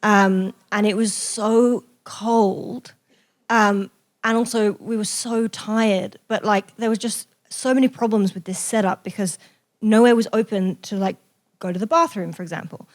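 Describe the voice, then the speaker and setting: A woman telling an anecdote to an audience in a casual, animated, self-deprecating way. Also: mid-range voice, a little slow. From raised in Australia. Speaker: guest journalist and author.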